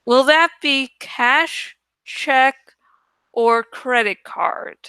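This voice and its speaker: A woman's voice: sits high, extremely slow, pushed and bright, clear, resonant tone, no echo, exaggerated voice. Woman acting out a crazed, lost old woman. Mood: lost, confused. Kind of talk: audiobook character acting.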